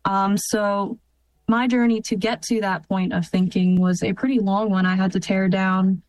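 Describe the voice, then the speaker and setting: A young American woman in her twenties giving earnest personal testimony in an interview, in a halting, reflective way. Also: medium pitch, steady tone, darkish tone, no echo, poor audio. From United States. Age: 20s. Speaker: interview guest, military officer.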